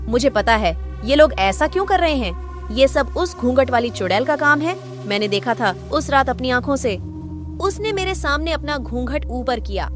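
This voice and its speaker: A woman's voice: high-pitched, lively intonation, normal speed. A woman playing a brave, worried young village woman in a cartoon story, earnest and dramatic. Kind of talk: Hindi cartoon story acting. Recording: faint music behind.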